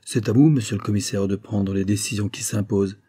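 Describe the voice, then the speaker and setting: Audiobook role: tactful gentleman who keeps his place, male narrator acting, mellow, polite, measured. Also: on the low side, fairly even intonation, husky, no echo. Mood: deferential. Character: diplomatic, deferential man who knows his place.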